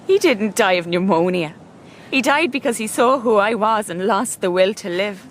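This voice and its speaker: A woman's voice: medium pitch, animated, moderate pace, a little hiss or hum, close to an everyday voice. An actress playing a grief-stricken, guilt-ridden young Irish woman in a radio drama, speaking with anguished, obsessive feeling. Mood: bitter, guilt-ridden.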